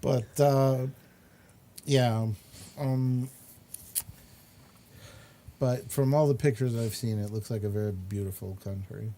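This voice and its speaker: A man hosting a talk show in casual chat, with drawn-out, emphatic repetition. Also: mid-range voice, level tone, warm tone. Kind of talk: casual chat, commenting on pictures. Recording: clear background noise.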